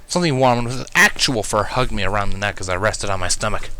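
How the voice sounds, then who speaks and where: A man reading a first-person story aloud as an expressive narrator. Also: lowish voice, fast. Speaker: fan fiction reader, narrator.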